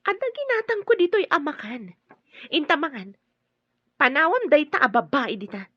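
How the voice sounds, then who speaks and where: A woman's voice: high voice, sing-song, normal speed, pushed and bright, dry recording, lo-fi sound, heavily acted. An actress playing a domineering, suspicious woman with a scolding, commanding manner in an audio drama. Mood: bossy, scolding.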